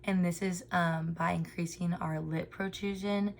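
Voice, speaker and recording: A young woman walks through a speech therapy lesson, earnest and informal, leaning on "um" as she goes. Her voice sits low and has a gravelly fry to it. There is a faint hiss of background noise. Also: fairly even intonation, measured pace. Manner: frequent ums, earnest explanatory delivery. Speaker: speech therapy student presenter. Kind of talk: informal instructional presentation.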